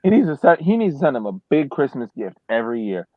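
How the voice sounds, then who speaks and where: A man of average pitch comes through a dry, phone-like connection. He gives casual sports analysis, working through his points deliberately. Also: sing-song, dry recording. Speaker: sports podcast panelist. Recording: dry, thin phone-like line.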